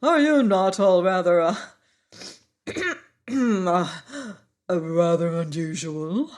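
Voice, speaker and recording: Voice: mid-range voice, takes it slowly, lightly acted. A reader voicing a pompous, learned male insect who lectures in grand, formal phrases. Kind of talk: audiobook character voice.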